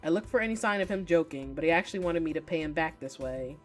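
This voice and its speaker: A woman's voice: deep voice, animated, fast, faint music behind. A woman reading aloud as a wary, weary young man narrating a visual novel, guarded and dry.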